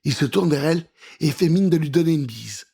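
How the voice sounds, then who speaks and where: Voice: mid-range voice, expressive, brisk, gravelly, mellow, breathy edge. A storyteller reading a scripted children's adventure in a theatrical, suspenseful way.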